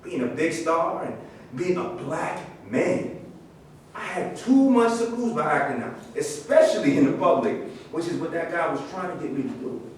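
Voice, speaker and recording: A man's voice: on the high side, expressive, normal speed, lightly acted. A man in a staged reading, playing a proud, indignant former football star recounting his grievances. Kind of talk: staged reading of a play, dramatic monologue. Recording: echoey room from far off, faint noise.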